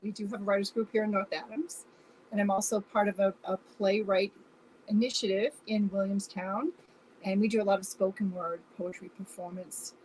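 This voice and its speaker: A woman's voice: mid-range voice, flat delivery, moderate pace, darkish tone. A woman guest chatting casually in an interview, effusive and appreciative in the way she talks. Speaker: writer and first-time theater director, guest. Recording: faintly noisy room sound.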